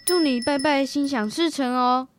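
Radio drama schoolboy boy actor: very high young voice, courteous shop helper, soft music bed. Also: moderate pace. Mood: polite. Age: child. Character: polite, helpful young schoolboy minding a shop.

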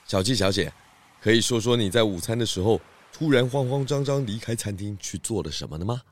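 A man playing a composed, astute pig detective, questioning deliberately in a children's radio drama. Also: on the low side, animated. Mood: composed, probing.

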